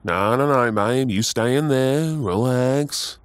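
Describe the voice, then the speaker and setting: An Australian man playing an affectionate, playful and caring husband in an intimate ASMR roleplay, soft-spoken and teasing. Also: mid-range voice, slow, no echo, lightly acted.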